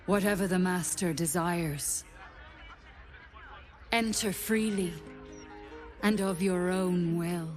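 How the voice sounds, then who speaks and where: A woman's voice: lowish voice, slow pace, pauses often, faint music behind. An actress playing a vacant, entranced female palace guard in a fantasy game, blank and formal in manner. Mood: vacant, obedient. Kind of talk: cinematic fantasy game acting.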